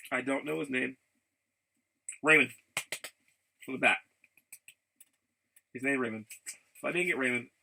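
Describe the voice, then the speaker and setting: A man chatting casually in a rambling vlog, haltingly, often repeating and restarting his phrases. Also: mid-range voice, vocal fry, sharp tone, slight background noise, low-quality recording. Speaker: vlogger.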